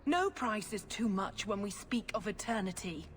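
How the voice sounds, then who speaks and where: A woman's voice: mid-range voice, sing-song, moderate pace, a little hiss or hum, lightly acted. A woman voicing a zealous middle-aged priestess who speaks with fervent, accusing conviction. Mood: defiant. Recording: game audio with music behind.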